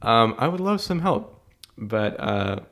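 A man's voice: fairly low pitch, sing-song, takes it slowly, a bit bright. A young man co-hosting a casual movie podcast, chatty and laughing often. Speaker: podcast co-host. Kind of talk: casual chat.

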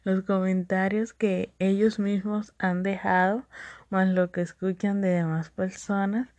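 A woman's voice: mid-range voice, flat delivery, measured pace, a little mellow, no echo. A woman with a Dominican accent hosting a solo podcast, chatty, laughing often and repeating catchphrases. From Dominican Republic. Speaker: podcast host.

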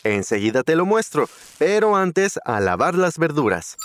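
A man's voice: on the high side, sing-song, moderate pace, fairly bright tone, a little hiss or hum. An easygoing young Mexican guy. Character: young Mexican man Ignacio, easygoing partner. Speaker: dialogue actor. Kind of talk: scripted dialogue for learners.

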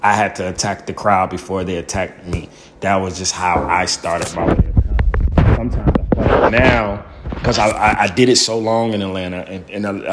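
Loud-voiced male stand-up storyteller. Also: sits low, very noisy. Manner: acts out quoted people in bursts. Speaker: stand-up comedian. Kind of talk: animated storytelling interview.

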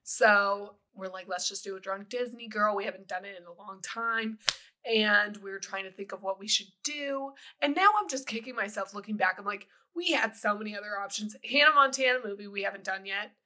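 Bright, slightly raspy woman podcasting. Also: mid-range voice, fairly quick. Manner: frank, slangy, sweary. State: recovering from a cold. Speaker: podcast host. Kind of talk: casual podcast chat.

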